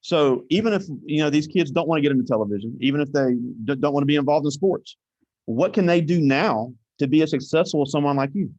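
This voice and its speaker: A man's voice: fairly high pitch, fast, a little mellow, no room sound, lo-fi sound. A man hosting an interview at a school, talking in a rambling way with many restarts and thanks. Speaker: host.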